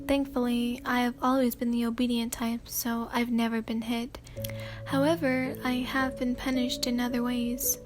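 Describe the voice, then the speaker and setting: High, flat-voiced woman reading softly. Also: normal speed, music in the background. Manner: soft and hesitant, many fillers and throat clears. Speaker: ASMR channel host reading fan fiction. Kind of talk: sleepy soft-spoken ASMR reading.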